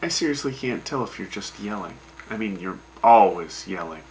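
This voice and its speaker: A man's voice: on the low side, lively intonation, normal speed, darkish tone, a little hiss or hum, rough recording. A man hosting a radio show in scripted banter, reacting to his co-hosts in a dry, exasperated way. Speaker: radio host.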